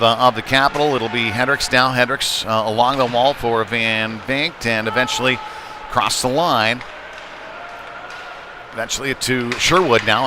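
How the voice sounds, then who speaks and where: A man doing live hockey play-by-play in a conversational, folksy way with hesitant pauses. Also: mid-range voice. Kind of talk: live sports commentary. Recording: noisy indoor rink ambience.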